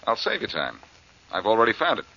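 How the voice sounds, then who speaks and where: A cocky amateur detective from an old radio mystery. His voice is forceful and very animated, needling everyone with glib confidence. The recording is dull and aged. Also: on the low side, a little fast, vocal fry, slightly rough, heavily acted. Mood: confident. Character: wisecracking confident amateur detective, adult man. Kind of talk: 1940s radio acting.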